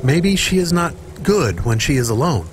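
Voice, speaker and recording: A man's voice: on the high side, very animated, moderate pace, noisy background. A man voicing a naive, childlike AI companion in a video game, earnest, anxious and very literal. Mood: concerned. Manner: literal, innocent, simply phrased.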